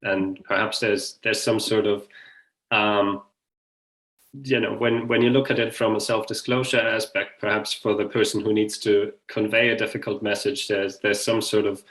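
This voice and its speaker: A man's voice: lowish voice, level tone, moderate pace, fairly bright tone, low-quality recording. A man hosting an online group discussion, speaking in a tentative, inviting way. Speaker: webinar host and facilitator. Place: online video call.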